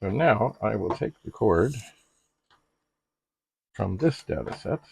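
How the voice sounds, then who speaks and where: A middle-aged man narrating a casual hands-on demonstration, musing haltingly aloud to himself with droll, slightly formal turns of phrase. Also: on the low side, expressive, creaky, breathy edge. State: frequent coughing and throat clearing. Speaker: retro computer hobbyist and video host.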